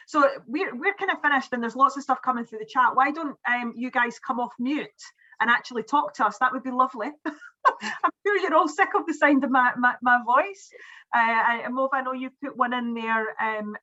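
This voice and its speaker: Bright chatty woman on a video call. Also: fairly high pitch, normal speed, muffled recording. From Scotland. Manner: chatty, many fillers and restarts. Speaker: webinar presenter. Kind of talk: casual online talk.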